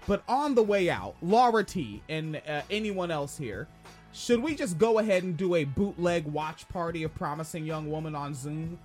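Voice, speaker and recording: A man with a noticeably high-pitched voice rambles through a casual live-stream chat, cracking jokes and swearing freely. He talks loosely as a podcast co-host, with faint music under him. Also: lively intonation, moderate pace, a little mellow.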